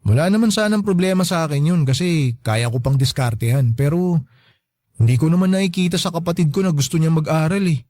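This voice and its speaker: A man's voice: a little high, brisk, dark tone, no room sound, natural voice. A young man playing a resentful, neglected grown son in a radio drama, plaintive and reproachful but respectful. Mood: resigned, exasperated. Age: young adult. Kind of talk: emotional radio drama acting.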